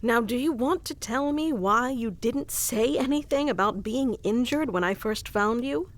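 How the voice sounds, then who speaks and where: Woman acting a high-voiced, prickly tsundere elf girl. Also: a little slow, close to an everyday voice. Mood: urgent, caring. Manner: prickly tsundere, archaic wording, stammers when flustered. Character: haughty, flustered young female elf guarding her forest. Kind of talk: scripted fantasy ASMR roleplay acting.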